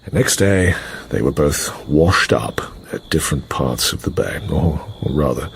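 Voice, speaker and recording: An actor playing a British intelligence officer in a radio drama, briefing an agent in hesitant, clipped upper-class phrases. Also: male voice, very deep voice, animated, a little slow, vocal fry, heavily acted. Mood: grave, dramatic. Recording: faint background noise and ambience.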